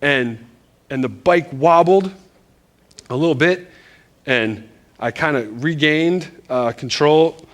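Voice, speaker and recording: A man from Northern Virginia preaching in a church, telling a story in a loose, animated, self-deprecating way with lots of restarts. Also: a little high, slow pace, long pauses. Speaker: pastor. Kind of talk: casual storytelling sermon.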